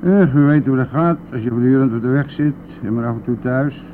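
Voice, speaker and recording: An actor playing a boastful, brash and talkative bus driver in a radio play, with a blustery, colloquial manner. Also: male voice, mid-range voice, a little fast, lightly acted. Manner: blustery, colloquial, mildly swearing. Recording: narrow phone-like old radio recording, faint noise.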